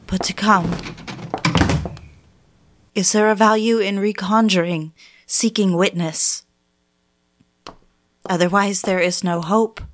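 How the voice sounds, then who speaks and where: An actress playing an anguished, bitter 1950s housewife who confides in deliberate, literary phrases, as in a dramatic audio drama narration. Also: female voice, mid-range voice, slight background noise, close to an everyday voice. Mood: anguished, lofty. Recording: music behind the voice. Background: music.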